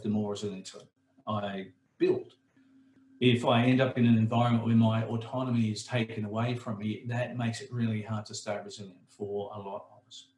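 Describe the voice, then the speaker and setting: A man with a slightly croaky voice presenting a training webinar in a relaxed, conversational way with frequent fillers. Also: fairly low pitch, reverberant, slight background noise, rough recording.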